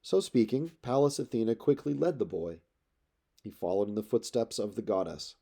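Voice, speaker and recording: A man reading epic poetry aloud as a narrator, in measured, dramatic storytelling phrases. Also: on the low side, creaky, warm tone. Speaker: audiobook narrator.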